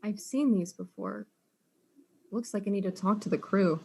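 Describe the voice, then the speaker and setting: A woman's voice: mid-range voice, normal speed, creaky, warm tone, husky. A woman playing a blunt, exasperated detective in a comic audio drama, dry, impatient and sarcastic. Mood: stern.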